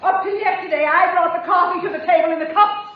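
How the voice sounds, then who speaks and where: An actress playing an indignant, aggrieved long-serving servant in a 1950s radio comedy, strident in manner. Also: female voice, very high-pitched, steady tone, fast. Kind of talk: 1950s radio sitcom acting. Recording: muffled echoey old radio recording, hiss.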